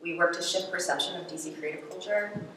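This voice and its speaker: A woman's voice: lowish voice, quick pace, pushed and bright, slight background noise. A woman introducing herself as a panelist in a casual way. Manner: casual, uses "like". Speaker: creative strategist, panelist. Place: large event hall. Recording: echoey hall from far off.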